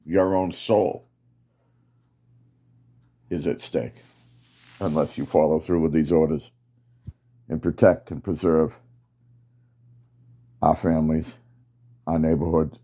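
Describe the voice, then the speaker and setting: A man's voice: very deep voice, mellow. A man playing a stern insistent commander. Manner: commanding, slow and emphatic orders.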